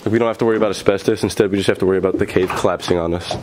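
A young man casually narrating as he explores an abandoned mine, joking and excited. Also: low-pitched, very fast, noisy background. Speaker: vlogger.

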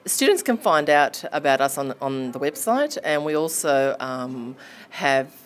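A woman's voice: rumbling low, big pitch swings, a little slow. A woman, answering interview questions in a classroom with many ums and restarts. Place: classroom indoors. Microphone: handheld lapel mic held near chest.

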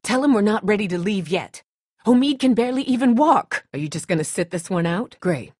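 A woman's voice: medium pitch, animated, fairly quick, a little mellow, dry recording, clean studio sound, natural voice. A woman acting a tough, distrustful and protective survivor in a dramatic video game, blunt, sardonic and guarded. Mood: cold, accusing.

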